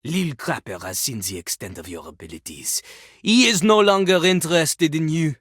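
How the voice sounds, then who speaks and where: A woman's voice: deep voice, animated, moderate pace, breathy edge, no room sound, studio quality. A woman voicing a vain, scornful French-accented toilet who fancies himself a rap master, in a theatrical video game performance with a pompous, dismissive manner. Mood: scornful, exasperated. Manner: pompous, speaks of himself in third person, dismissive.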